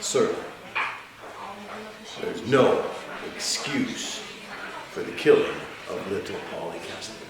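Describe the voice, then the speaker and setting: A man playing a veteran defense lawyer in a theatrical courtroom monologue, pleading with oratorical, impassioned phrasing and dramatic pauses. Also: lowish voice, animated, creaky, crowd noise, heavily acted. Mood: measured, weary. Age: older. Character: veteran male defense lawyer pleading passionately for young clients' lives. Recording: very echoey room, faint noise.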